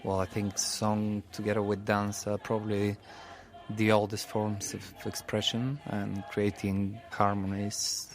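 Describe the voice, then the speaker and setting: A man's voice: on the low side, monotone, a little slow. A man from Bulgaria, a choir singer, giving interview remarks. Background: music.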